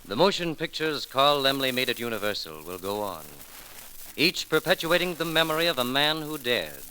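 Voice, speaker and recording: Man, 1930s radio announcer, formal tribute, bright forceful tone, declamatory, noisy vintage recording. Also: mid-range voice, sing-song, moderate pace.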